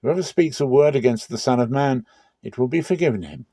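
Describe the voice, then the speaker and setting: A man's voice: mid-range voice, fairly quick, warm tone, breathy edge, dry recording, clean studio sound, pitched above the performer's own voice. A man voicing an authoritative, earnest male teacher in a dramatic scripture reading, with emphatic, rhetorical preaching. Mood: forceful, admonishing.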